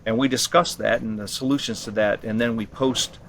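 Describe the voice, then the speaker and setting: A man giving unscripted explanations in an interview, halting and matter-of-fact in his delivery. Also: mid-range voice, fairly even intonation. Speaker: expedition team member being interviewed. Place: ham radio operating station on a remote island. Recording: clear background noise, on-site ambience.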